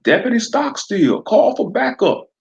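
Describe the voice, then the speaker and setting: A sneering Southern sheriff. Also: male voice, high voice, big pitch swings, unhurried, creaky, muffled recording, pitched above the performer's own voice, heavily acted. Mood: threatening. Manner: drawling threats, sneering. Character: bullying small-town male sheriff, menacing and smug.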